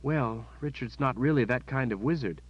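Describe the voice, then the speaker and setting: A man voicing a commanding young noble woman, calm and steely, speaking in a gentle but firm manner. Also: mid-range voice, normal speed, highs cut off, voice pushed up, lightly acted.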